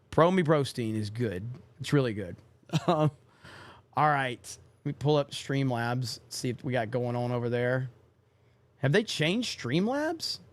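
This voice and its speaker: A young man hosting a casual livestream, reading out viewer donations and cursing offhandedly. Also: mid-range voice. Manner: reads viewer donations aloud, swears casually. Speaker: livestream podcast host.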